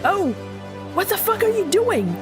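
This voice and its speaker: A woman plays a troubled, defiant man in a story game. The delivery is earnest and agitated, with music clearly behind it. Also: very high voice, big pitch swings, measured pace, voice pushed up, full character voice.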